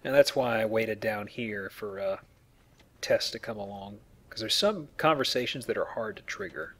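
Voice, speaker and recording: A man's voice: fairly low pitch, moderate pace, a bit bright. A man giving casual, rambling game commentary with hesitant self-corrections. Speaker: let's play commentator.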